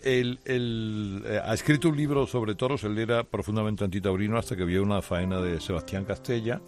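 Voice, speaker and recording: A man from Seville hosts the interview with a very low voice. He is chatty and warm with his guest and heaps praise on him. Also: fairly even intonation, a little fast. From Seville, Spain. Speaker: host, interviewer. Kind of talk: radio interview.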